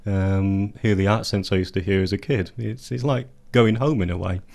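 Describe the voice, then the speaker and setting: A deep-voiced man talks about his poetry in a radio studio. He chats easily and laughs lightly between thoughts. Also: steady tone, normal speed, gravelly, darkish tone. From England. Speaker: poet guest. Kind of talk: radio interview and poetry reading.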